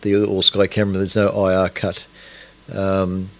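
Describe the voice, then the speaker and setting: A man's voice: low voice, completely flat delivery, a little slow. An Australian man hosting a casual astronomy radio broadcast, rambling and hesitant with many fillers as he sets things up. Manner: rambling, hesitant, many fillers, talks to himself while setting up. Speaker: radio host.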